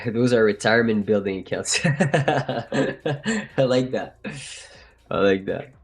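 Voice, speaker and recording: A man's voice: mid-range voice, takes it slowly, breathy edge, faint music behind. A man with a French accent hosting a live trading stream, chatting casually and joking with viewers. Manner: joking, laughs often, trails off and restarts. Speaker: livestream trading host.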